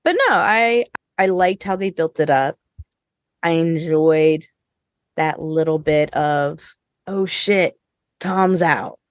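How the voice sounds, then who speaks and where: Woman podcast host chatting casually, kinda low voice, sweary n expressive, dry close sound. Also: slow pace, pauses often, dry recording. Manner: profane, informal, expressive. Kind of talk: casual review chat with co-hosts.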